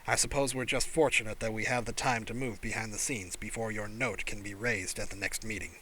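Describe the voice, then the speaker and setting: Man voicing a shrewd, calm alien clan father. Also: lowish voice, poor audio. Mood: urgent, calculating. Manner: calm, affable, measured.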